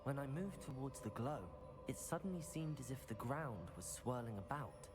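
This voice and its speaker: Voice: mid-range voice, expressive, moderate pace, long reverb tail, music in the background, lightly acted. An actor playing a polite, observant young student wizard, earnest and inquisitive, in a video game. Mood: observant, intent. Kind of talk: video game character acting.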